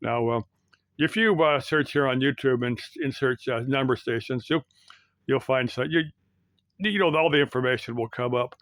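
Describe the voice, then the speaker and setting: A man's voice: mid-range voice, fairly bright tone, no echo. Rambling middle-aged American man, dry sound. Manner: halting, rambling, repeats and backtracks. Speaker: YouTube host. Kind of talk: casual explanatory monologue.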